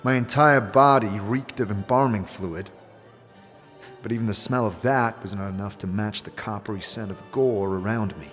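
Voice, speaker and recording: A man voicing a grim, wry amnesiac adventurer in a fantasy audio drama, speaking with deliberate gravity. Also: on the low side, fairly bright tone, long reverb tail, faint music behind, close to an everyday voice.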